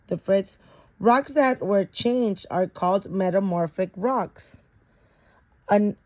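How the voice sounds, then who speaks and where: A woman reads a children's science storybook aloud at an unhurried, slow pace. Her voice sits at a medium pitch. She reads haltingly, going back over words to repeat or correct them. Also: a little mellow. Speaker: reader of a children's picture book.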